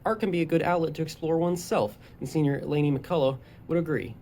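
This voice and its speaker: A teenager narrating a school news feature in a brisk, scripted reading. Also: mid-range voice, background noise. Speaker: student reporter.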